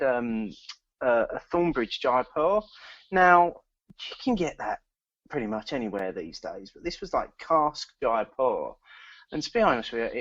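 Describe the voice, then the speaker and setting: A man co-hosting a podcast speaks at a middling pitch in a halting, easygoing way, often stumbling over and repeating his words. The sound is dry and dead, with a slightly dull, lo-fi tone. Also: fairly bright tone, no room sound. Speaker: podcast co-host. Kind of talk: casual chat.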